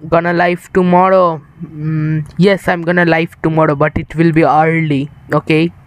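A man's voice: fairly high pitch, flat delivery, moderate pace, dry recording. A young man from India chatting casually with viewers while streaming a game, rambling and tired, on an improvised setup. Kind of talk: casual live-stream chat while gaming.

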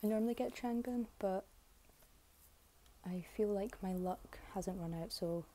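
Slightly hoarse, mellow young woman. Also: mid-range voice, a little slow, a little hiss or hum. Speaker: K-pop album unboxing vlogger. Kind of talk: casual unboxing commentary. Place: bedroom, filming items on a bed.

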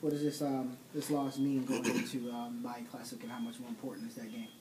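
A man working as a reporter, asking halting questions at a press conference. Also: mid-range voice, little pitch movement. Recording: noisy room recording with clicks.

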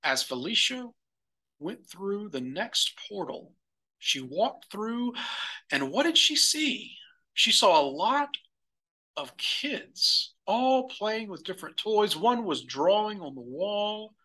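A man narrating a children's picture book for storytime in a deliberate manner. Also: sits high, very animated, sharp tone, low-quality recording. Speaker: storybook reader.

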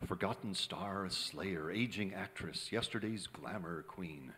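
A man's voice: low-pitched, measured pace, background noise. An actor playing a wry, cynical middle-aged man narrating his own murder in a radio noir drama. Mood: wry, bitter. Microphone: vintage-style stand microphone on stage. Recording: live theatre, long hall reverb, audience.

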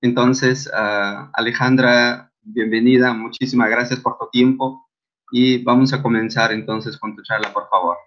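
A man's voice: medium pitch, steady tone, unhurried. A man hosting an online lecture series, introducing a speaker hesitantly with many fillers. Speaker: session host. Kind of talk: formal introduction. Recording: dull lo-fi video call audio.

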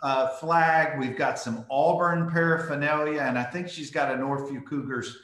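A man's voice: a little high, steady tone, moderate pace, fairly bright tone, echoey room. A middle-aged man, hosting a friendly interview over a video call, often repeating words as he starts a phrase. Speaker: host. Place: home room with school mascot wall.

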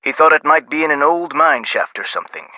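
An actor voicing a dry, guarded but chatty government clerk in a video game phone conversation. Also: male voice, a little high, lively intonation, brisk, bright, forceful tone, no room sound, lo-fi sound, exaggerated voice. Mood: confiding.

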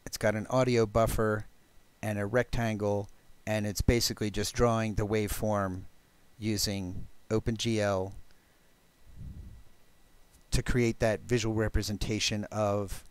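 A man giving a live technical walkthrough of code as a presenter, explaining deliberately and haltingly with pauses. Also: lowish voice, flat delivery, clean tone, dry recording. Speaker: presenter of a programming talk.

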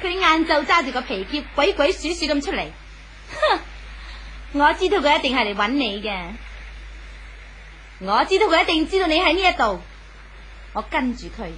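A vengeful, possessive woman in an old Hong Kong Cantonese radio drama. She taunts theatrically in a sharp, highly animated voice. The old recording is noisy. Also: moderate pace, long pauses. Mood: mocking, triumphant. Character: obsessive, vengeful jilted woman, mocking and possessive.

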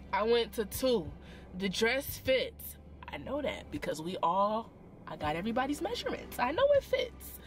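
Animated young woman telling a story in her car. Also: medium pitch. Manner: expressive and dramatic, slangy, quick asides. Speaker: vlogger. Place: parked car.

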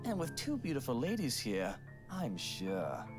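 A woman voicing a smooth, flirtatious rich man in a visual novel, suave and insinuating. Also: rumbling low, huge pitch swings, measured pace, pitched below the performer's own voice, exaggerated voice. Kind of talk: visual novel character read-aloud. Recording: music behind the voice.

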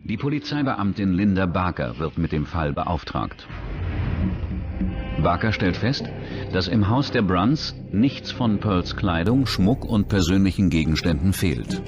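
A man narrates a true-crime documentary in a low voice. The delivery is scripted, with some music playing behind him. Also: animated, unhurried.

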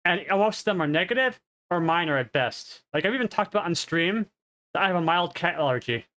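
Stuffed-up nasal guy rambling on his headset, kinda high voice. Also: lively intonation, brisk, sharp tone. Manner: rambling, self-correcting. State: congested, coughing. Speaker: streamer. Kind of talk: casual health update. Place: home streaming room.

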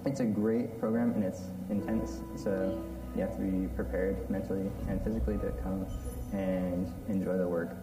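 A man's voice: low-pitched, little pitch movement, normal speed. A man, a maintenance student, speaking sincerely in an interview. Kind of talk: documentary interview. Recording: roomy, distant, under music.

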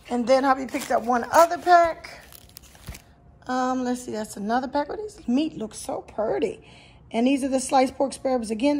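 Breathy high-voiced woman showing groceries. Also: darkish tone. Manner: chatty, lots of "you guys" and "um". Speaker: grocery haul vlogger. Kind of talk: casual chat to camera. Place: home kitchen.

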